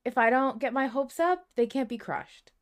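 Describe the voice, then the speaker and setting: A woman's voice: high-pitched, moderate pace. A woman co-hosting a fan podcast in casual chat, emphatic and playfully repetitive. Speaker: podcast co-host.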